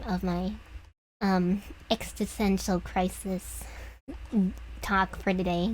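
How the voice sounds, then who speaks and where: A young woman streaming as she draws, talking softly and lower than usual, hesitant and often trailing off. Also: a little hiss or hum, low-quality recording. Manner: soft, hesitant, trailing off with restarts. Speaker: streamer drawing commissions. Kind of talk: casual reflective chat while drawing.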